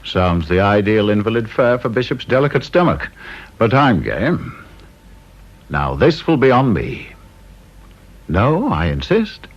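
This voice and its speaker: A man's voice: lowish voice, sing-song, unhurried, pauses often. A middle-aged man with an English accent playing a caustic, domineering board chairman in a British radio drama, sardonic and officious. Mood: breezy authority. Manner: sardonic, officious, drawling emphasis. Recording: dull, lo-fi radio recording.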